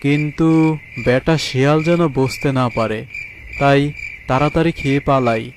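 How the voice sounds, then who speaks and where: A man's voice: medium pitch, normal speed, noisy background, natural voice. A man voicing a greedy, sneaky thieving tiger in a cartoon, gloating and talking to himself. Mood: gleeful, sneaky. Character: greedy sneaky male tiger, a mango thief. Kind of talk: cartoon voice acting.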